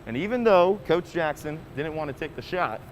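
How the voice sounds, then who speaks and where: A man's voice: a little high, lively intonation, normal speed, a little hiss or hum, rough recording. A man reading a scripted sports news report as a reporter.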